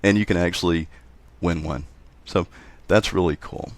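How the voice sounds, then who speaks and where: Very deep-voiced man explaining casually. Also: fairly even intonation, moderate pace, no echo. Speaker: interview guest, amateur radio contest operator.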